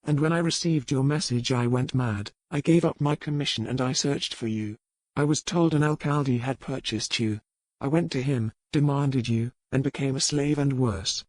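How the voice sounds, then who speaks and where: A man acts out a wronged nobleman from a historical romance. He sounds bitter, sullen and wounded, with formal phrasing. The pitch is medium and the recording is very dry. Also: moderate pace, darkish tone, no room sound, lightly acted. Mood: anguished.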